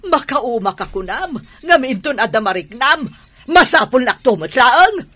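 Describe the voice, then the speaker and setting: An actress playing a nagging, fed-up older wife in an Ilocano radio drama. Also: female voice, sits high, very animated, measured pace, a bit bright, heavily acted. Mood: scolding, exasperated.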